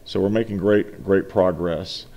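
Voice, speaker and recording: A middle-aged man, seated at a meeting table and speaking into a desk microphone, delivers a formal report to a board. His voice sits on the low side. He talks earnestly and with emphasis, and he restarts his phrases now and then. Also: fairly even intonation, unhurried, darkish tone, lo-fi sound. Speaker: college finance officer.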